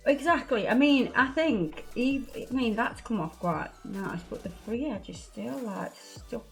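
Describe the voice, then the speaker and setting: A woman's voice: on the high side, animated, a little slow, gravelly, soft background music. A woman nail technician, chatting casually through a tutorial while recovering from a cold. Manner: chatty, laughs a lot, local slang. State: bunged up from a cold.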